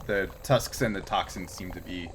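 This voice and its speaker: A man's voice: deep voice, normal speed, noisy background. A man running a tabletop game as game master, explaining things in a hesitant, improvised way.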